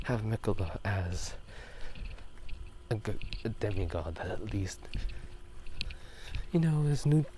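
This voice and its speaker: Low, whispery man over outdoor noise. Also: expressive, takes it slowly, long pauses. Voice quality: soft, breathy half-whisper. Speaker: ASMR video host. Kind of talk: casual ASMR game talk. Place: outdoors at night. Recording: noisy outdoor ambience. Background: drainage pipe water, passing cars.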